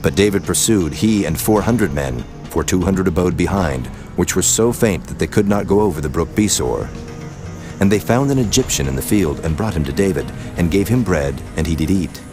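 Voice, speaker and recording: Deep-voiced solemn male scripture narrator. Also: expressive, normal speed, music in the background.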